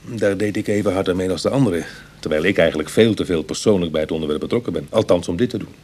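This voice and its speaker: Gravelly, low-voiced reformed burglar. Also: male voice, fast, a little mellow. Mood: musing, conversational. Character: roguish reformed burglar, world-weary, fond but guilt-ridden. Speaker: actor in a radio play. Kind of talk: Dutch radio drama acting, dialogue and confessional narration.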